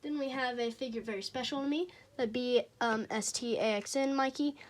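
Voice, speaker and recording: A teenager with a childlike voice, reviewing toy figures in a casual, hesitant way with frequent pauses and fillers. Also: fairly even intonation. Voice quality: childlike, high voice.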